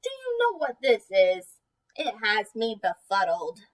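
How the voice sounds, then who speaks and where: A woman puts on a simple, good-hearted potato pug from a storybook, befuddled and plaintive. The voice drops below her own reading pitch and sits a little away from the mic. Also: wildly swooping pitch, a little slow, far from the mic.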